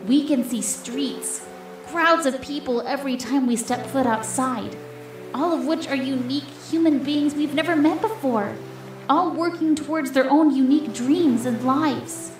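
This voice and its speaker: A hopeful, gentle young girl , acted by a woman, speaks in a high, soft voice with wistful earnestness. It is animated comic dub acting, with noticeable echo and music underneath. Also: measured pace. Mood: bright wonder. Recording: echoey voice under background music.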